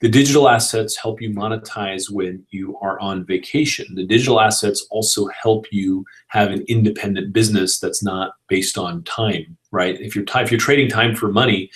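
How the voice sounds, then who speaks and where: A man with a slightly raspy, tired voice, answering audience questions in a casual live Q&A about online business. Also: lowish voice, rough recording. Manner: casual, energetic business coaching with frequent "you know" and "right?". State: slightly hoarse from long filming.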